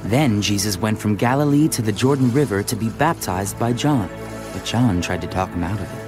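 A man's voice: lowish voice, animated, moderate pace. A man reading scripted narration for a dramatized audio Bible. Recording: faint music behind the voice. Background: music.

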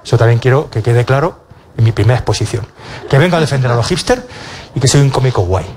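A man, a comedian talking on a stage panel through a handheld mic, halting with many restarts and a wry self-mocking tone. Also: mid-range voice, expressive, darkish tone, slight background noise. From Castilla-La Mancha, Spain. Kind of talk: panel discussion. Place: stage of a cultural centre auditorium.

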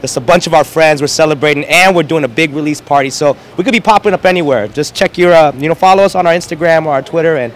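A young man, a musician guest, talking up upcoming parties at an indoor event on a handheld mic, brisk and hyped. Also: fairly high pitch. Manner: brisk, hype, promotional. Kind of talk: casual interview talk.

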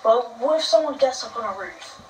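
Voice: medium pitch, lively intonation, a little fast, slight background noise. A player chatting casually during a game, teasing and arguing with friends. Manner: teasing, argumentative. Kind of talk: casual gaming chat. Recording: echoey room, far from mic.

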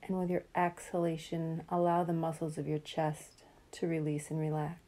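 Calm lady doing guided meditation, really mellow n relaxed voice, pretty flat tone, slow soothing phrases w pauses. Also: deep voice.

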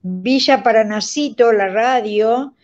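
A woman's voice: on the high side, slow. An effusive, sentimental Argentine woman in her sixties, chatting as an interview guest about her work. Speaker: radio drama director, guest. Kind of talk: casual conversational interview. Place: indoors at home. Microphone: phone or laptop on video call. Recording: dull lo-fi video call.

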